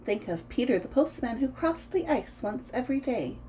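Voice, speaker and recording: A woman in her thirties reading a picture book aloud to preschoolers in an expressive, sing-song way, as host of a story time. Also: on the high side, normal speed, slight background noise. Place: small room at home, indoors.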